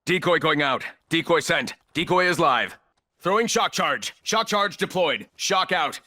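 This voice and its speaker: Video game operator: ruthless corporate soldier, high, sharp voice, clipped orders, cold contempt. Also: male voice, lively intonation, measured pace, exaggerated voice. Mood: clipped, commanding. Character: ruthless, arrogant male military operator and cold corporate commander. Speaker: playable operator in a military shooter game. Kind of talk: video game combat barks and callouts.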